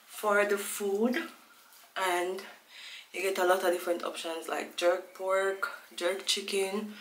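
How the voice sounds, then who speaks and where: A woman's voice: medium pitch, sharp tone, reverberant, slight background noise, poor audio. Chatty young Jamaican-born woman vlogging. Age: 20s. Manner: chatty, rambling, loses her train of thought. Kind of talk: casual vlog chat to camera. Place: small room at home, indoors.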